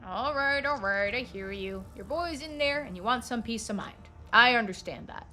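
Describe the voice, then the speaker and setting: A woman's voice: a little high, lively intonation, normal speed, bright, forceful tone, soft background music. A woman as a weary, firm small-town sheriff.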